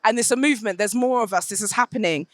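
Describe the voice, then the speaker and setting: A woman's voice: a little high, quick pace, no echo. A woman with an English accent giving a conference presentation and taking questions, fluent but with frequent ums and restarts. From United Kingdom. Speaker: conference speaker, company head.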